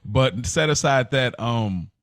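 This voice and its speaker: A man's voice: medium pitch, animated, slow, a bit bright, no echo, clean studio sound. American man chatting casually on a podcast. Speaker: podcast co-host. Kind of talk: casual unscripted podcast chat.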